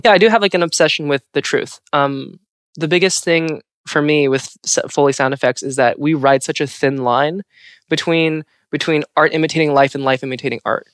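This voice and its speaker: Reflective man, fairly high voice. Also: fairly even intonation, fairly quick, fairly bright tone, smooth, no echo, clean studio sound. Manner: thoughtful, searching for words with restarts. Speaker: Foley artist. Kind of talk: interview talk.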